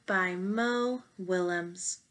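A young woman reading a children's picture book aloud in an expressive, dramatic and playful manner. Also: lowish voice, drawn-out words, slight background noise. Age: young adult. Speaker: storybook reader. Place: small bedroom, indoors.

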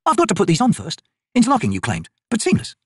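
A tough woman soldier, acted by a man, speaking high and husky with a commanding, blunt edge. She teases as she orders, and her pitch moves freely in this audiobook reading. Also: wildly swooping pitch, fast talker, dry recording, studio quality, full character voice. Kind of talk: audiobook character voice.

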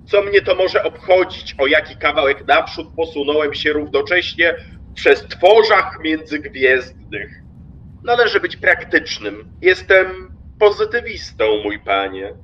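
Male actor as a smug stationmaster for radio drama: highish voice, deliberate delivery, somewhat dull, noisy recording. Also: expressive, fairly bright tone. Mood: dismissive. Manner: pompous, self-satisfied, deliberate. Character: smug, pragmatic railway stationmaster. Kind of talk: radio play acting.

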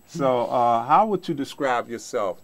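A man's voice: medium pitch, lively intonation, unhurried, fairly bright tone. A middle-aged man hosting an informal studio interview, talking in a rambling, repetitive way. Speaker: talk show host. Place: small indoor TV studio.

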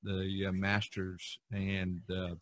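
A man hosting a podcast, talking casually about art in a halting, rambling way. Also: deep voice, completely flat delivery. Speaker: podcast host.

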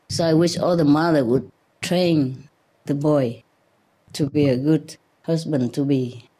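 A woman's voice: low-pitched, warm tone, slightly rough, rough recording. A middle-aged woman, a spiritual teacher, talking informally to a seated group through a desk mic, chatty, laughing often and restarting her sentences. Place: room with paper screens, audience in a hall. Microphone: desk mic on a stand.

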